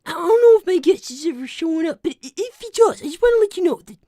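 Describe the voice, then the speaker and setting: A woman's voice: very high-pitched, lively intonation, fast, warm tone, no echo, studio quality. A woman voicing an anxious, earnest young boy fox who stammers defensively on a character livestream. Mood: conversational. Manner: stammering, flustered. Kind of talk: improvised character livestream with donation reading.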